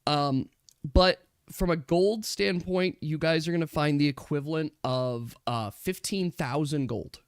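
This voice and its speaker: A man working as game master, narrating a tabletop game casually and thinking aloud in a halting way. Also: a little high, smooth, no room sound, studio quality.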